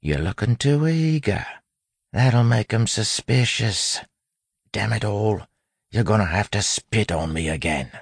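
A man voicing a sly impostor posing as a street-tough gang mate, hushed, slangy and conspiratorial, in an audiobook. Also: on the low side, big pitch swings, takes it slowly, slightly rough, no echo, clean studio sound, pitched above the performer's own voice, heavily acted. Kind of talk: audiobook character acting.

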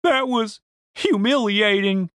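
A narrator voicing a brash, foul-mouthed young country woman with a blunt, excitable, folksy drawl, as audiobook character dialogue. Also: mid-range voice, moderate pace, dry recording, clean studio sound, voice pushed up. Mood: sheepish, defiant.

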